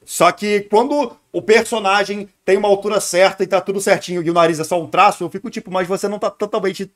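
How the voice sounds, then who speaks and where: A Brazilian man with a somewhat high voice talks casually as he reacts on a stream. He wanders from thought to thought, musing aloud in an unscripted way. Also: fairly even intonation, quick pace, fairly bright tone. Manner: rambling, musing. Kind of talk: casual spontaneous reaction commentary.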